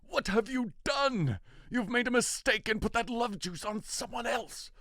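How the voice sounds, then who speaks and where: A man's voice: very high-pitched, unhurried, sharp tone, no echo, clean studio sound, exaggerated voice. A man playing an imperious, scheming fairy king in an audio drama, with a commanding and dramatic delivery. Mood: exasperated. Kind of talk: theatrical audio drama acting.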